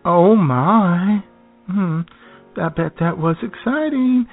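A man's voice: high voice, lively intonation, slow, exaggerated voice. A man playing a gushing, flirty celebrity interviewer in a comic radio sketch. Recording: dull lo-fi radio audio with music behind.

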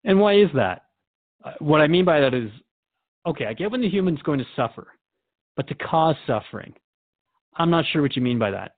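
A man's voice: a little high, lively intonation, fairly quick, long pauses, phone-quality sound. A man playing an earnest, questioning radio host who talks in a conversational, hesitant, reflective way in a radio drama interview. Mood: curious.

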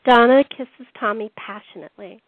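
A woman narrates a scripted radio play in a fairly high voice. She reads out scenes and stage directions as the narrator and host. The sound is thin and phone-like. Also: moderate pace. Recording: echoey room, tinny phone-like sound.

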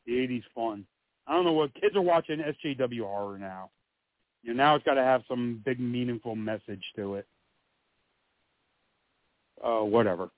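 Laughing, hoarse man on a tinny line. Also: mid-range voice, moderate pace, long pauses, no room sound. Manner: laughs often, rambling, swears freely. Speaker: co-host. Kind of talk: casual podcast chat. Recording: tinny phone-like line, dry.

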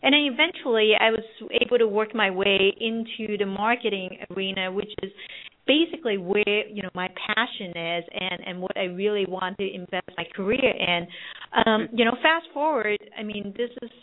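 A woman in her thirties from Hong Kong, a marketing director speaking as a guest by phone, relaxed and upbeat with frequent ums. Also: mid-range voice, moderate pace. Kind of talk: phone interview. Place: at home or office on the US West Coast. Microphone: phone handset. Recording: phone line, narrow band.

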